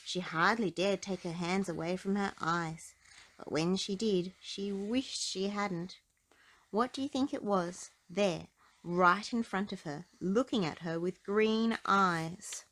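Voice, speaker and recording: A woman reading a children's story aloud in an expressive, dramatic storytelling style. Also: mid-range voice, takes it slowly. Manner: dramatic, animated delivery with emphasis. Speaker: storybook narrator.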